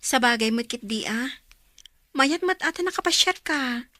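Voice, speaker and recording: An actress playing a supportive, caring young older sister in a radio drama, with a Filipino accent and an encouraging manner. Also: female voice, high voice, expressive, normal speed, pushed and bright, no echo. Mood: welcoming. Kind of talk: Ilocano radio drama acting.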